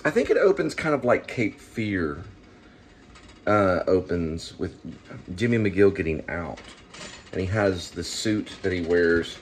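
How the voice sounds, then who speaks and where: A man hosting his own online show, giving rambling, unscripted commentary in a halting, digressive way, as if thinking aloud. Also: low-pitched, expressive. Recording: clear background noise.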